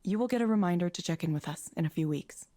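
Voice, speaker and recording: An actress playing a reserved, soft-spoken counselling proxy in a visual novel, calm and measured in delivery. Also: female voice, lowish voice, no echo, natural voice. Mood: scripted calm.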